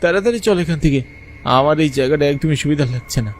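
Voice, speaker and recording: A man's voice: fairly high pitch, fast talker, lightly acted. Guy doing a scared village fisherman telling a spooky cartoon story, anxious, noise behind him. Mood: alarmed, urgent. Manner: suspenseful narration with urgent, anxious dialogue. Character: frightened village fisherman narrating his own ghost story. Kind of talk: dramatic first-person horror storytelling for a cartoon.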